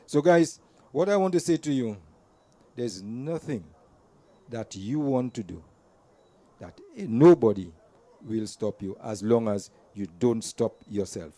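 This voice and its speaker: A man's voice: medium pitch, lively intonation, measured pace, mellow. A man gives an earnest storytelling speech to a community gathering, repeating words as he restarts his sentences. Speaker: invited speaker at a community gathering. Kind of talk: personal storytelling speech to an audience. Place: community event venue.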